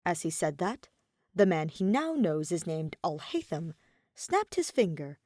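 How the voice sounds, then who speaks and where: A woman reading scripted fiction as an expressive story narrator. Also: on the low side, unhurried, no room sound, clean studio sound. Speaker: podfic narrator.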